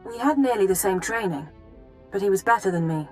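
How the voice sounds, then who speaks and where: A woman's voice: mid-range voice, animated, a little fast, soft background music, natural voice. A woman playing a controlled, efficient intelligence handler in a video game, speaking in a clipped, formal way. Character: brisk, clinical, controlled female intelligence handler. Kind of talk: video game cutscene acting.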